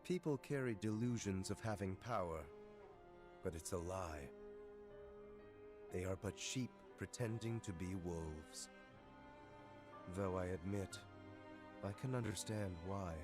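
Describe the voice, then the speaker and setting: Deep, slow, brooding dark youth. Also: male voice. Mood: contemptuous. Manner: measured, solemn, disdainful. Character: brooding, cold, arrogant young man drawn to darkness. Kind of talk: video game cutscene acting. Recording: music under the voice.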